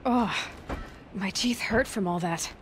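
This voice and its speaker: Actress doing a young huntress from a video game, capable n wry, asks straight questions, music under it. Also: female voice, medium pitch, slow, close to an everyday voice. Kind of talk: video game character acting.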